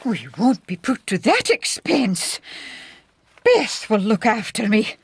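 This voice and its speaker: An actress playing an ailing, genteel Scottish spinster in a radio drama, plaintive and polite but stubborn. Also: female voice, fairly high pitch, wildly swooping pitch, slow pace, creaky, slightly rough, no room sound, full character voice. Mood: stubborn, complaining.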